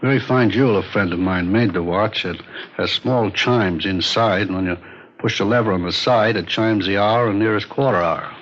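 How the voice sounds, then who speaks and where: An actor playing a courteous, grieving old retired Marine colonel, dignified and subdued, in a 1950s radio drama. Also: male voice, lowish voice, moderate pace, a bit bright. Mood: proud. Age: older. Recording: dull, faintly noisy old radio recording.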